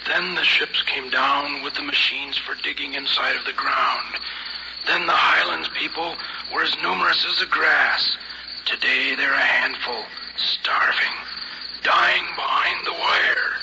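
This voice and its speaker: Gravelly martian native, grave n proud, formal talk, real noisy old radio drama recording. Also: male voice, on the high side, fairly even intonation, muffled recording. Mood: bitter, proud. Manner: solemn, formal, measured speech in a foreign tongue.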